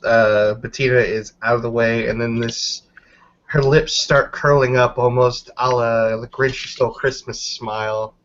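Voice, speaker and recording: A man's voice: mid-range voice, fairly even intonation, measured pace, a bit bright. A man casually narrating his character's actions as a player in a tabletop game. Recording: dull, noisy home mic.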